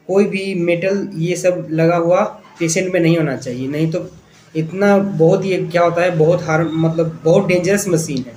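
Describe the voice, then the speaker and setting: Echoey, higher-pitched Indian man teaching. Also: a little mellow. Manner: explains step by step with rhetorical questions and repetition. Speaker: physiotherapy instructor and channel host. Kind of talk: informal spoken lecture to viewers. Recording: echoey room, clear background noise.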